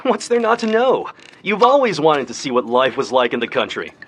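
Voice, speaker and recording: Eager adventurous young husband. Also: male voice, on the high side, big pitch swings, normal speed, fairly bright tone, slightly rough, heavily acted. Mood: coaxing.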